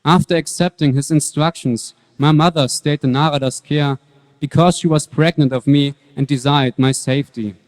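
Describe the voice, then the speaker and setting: A man playing a devout, fearless young prince in a dramatic reading, speaking with calm, earnest wisdom. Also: a little high, lightly acted. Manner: earnest, measured preaching. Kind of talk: dramatic scripture reading on stage. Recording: long hall reverb.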